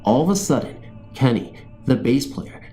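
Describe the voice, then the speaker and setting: A man narrates true ghost stories in a dark, mellow voice at a medium pitch. His delivery is scripted first-person storytelling, with faint music underneath. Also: expressive, normal speed.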